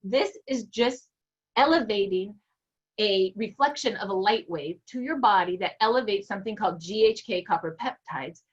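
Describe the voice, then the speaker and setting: A woman's voice: mid-range voice, fairly bright tone, slightly rough, dull audio. A woman giving an earnest, emphatic personal testimonial in casual talk. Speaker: network marketing guest.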